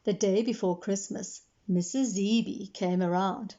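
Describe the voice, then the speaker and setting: Mellow woman reading to children. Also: mid-range voice, fairly even intonation, measured pace, muffled recording. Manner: explains words simply for young listeners. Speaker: storyteller reading aloud to children. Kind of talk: expressive read-aloud narration.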